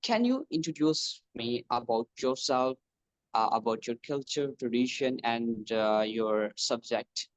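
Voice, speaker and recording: A man's voice: medium pitch, expressive, a little slow, dry recording, low-quality recording. A young man from Pakistan, a computer science student, speaking English as a learner in a casual practice conversation, hesitant with many fillers and restarts. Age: young adult.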